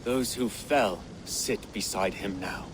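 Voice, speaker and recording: An actor playing a devout, peace-minded convert warrior, earnest and measured, in a video game. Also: male voice, fairly low pitch, a little hiss or hum. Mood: earnest, serene. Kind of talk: dramatic video game acting.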